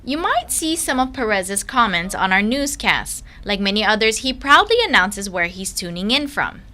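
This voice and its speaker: A woman's voice: medium pitch, animated, moderate pace, bright, forceful tone. A woman narrating a news feature as a reporter, in an upbeat, friendly scripted delivery.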